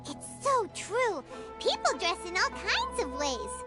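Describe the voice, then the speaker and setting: A cheeky little fairy sidekick from a video game, with an extremely high, childlike voice. The intonation bounces up and down with excitable energy. Loud cheerful game music plays behind. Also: female voice, a little slow, exaggerated voice. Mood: chatty, excited. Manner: talks about self in third person.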